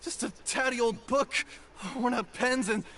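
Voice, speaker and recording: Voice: medium pitch, fairly even intonation, measured pace, a bit bright, a little hiss or hum, lightly acted. A performer voicing a cowardly young thief in a video game, who begs and swears oaths. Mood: sulky. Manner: frightened, begging, oath-swearing. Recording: game audio with music behind.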